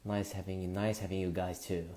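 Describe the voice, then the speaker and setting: A man, hosting a casual live stream, greeting viewers and talking in halting bursts with lots of fillers. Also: deep voice, level tone, dark tone. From Indonesia. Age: 20s.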